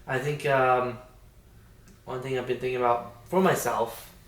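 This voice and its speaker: Young man, casual podcast guest, slangy, repetitive phrasing, medium pitch, echoey distant recording. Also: fairly even intonation, unhurried, noisy background. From India, Australia and New York. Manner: informal, slangy, repeats himself. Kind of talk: casual chat, personal reflection. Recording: echoey room, distant from the mic.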